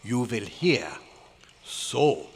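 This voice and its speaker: An actor playing a stern, excitable scientist with a German accent and formal, emphatic phrasing in an old-time radio drama. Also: male voice, medium pitch, drawn-out words, full character voice. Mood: instructive, proud. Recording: echoey live hall recording, faint noise.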